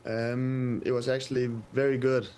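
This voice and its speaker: A young man in his twenties with a Danish accent, answering interview questions over a video call from a press room, hesitant and soft-spoken with many pauses. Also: medium pitch, little pitch movement, clear, resonant tone. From Denmark. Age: 20s. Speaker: footballer guest. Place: indoor press room with sponsor backdrop. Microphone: webcam video call.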